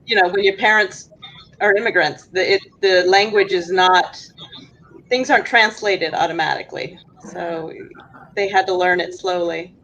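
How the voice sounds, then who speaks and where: Bright-voiced woman guest, laughs easily. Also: fairly low pitch, steady tone, moderate pace, muffled recording, a little hiss or hum. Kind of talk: casual chat.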